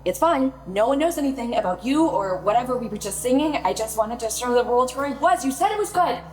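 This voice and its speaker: A woman's voice: a little high, expressive, brisk, a little hiss or hum, lightly acted, rough recording. An actress playing a troubled, self-absorbed pop star in her twenties, defensive and flustered, in a naturalistic radio play. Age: young adult.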